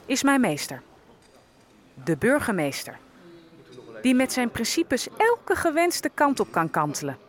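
A high-pitched female voice in a historical radio play, as an enslaved survivor looking back on her life. She is wry and knowing, and tells it slowly and deliberately. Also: slight background noise. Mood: wry, reflective. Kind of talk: dramatised first-person narration in an audio drama.

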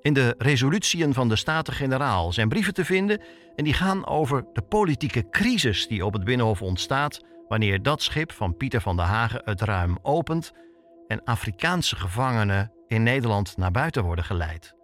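A man's voice: medium pitch, big pitch swings, moderate pace, fairly bright tone. A man with a Netherlands Dutch accent narrating a scripted personal documentary as a reporter, reflective and posing questions to himself. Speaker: reporter and podcast host. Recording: music bed under the voice. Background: music.

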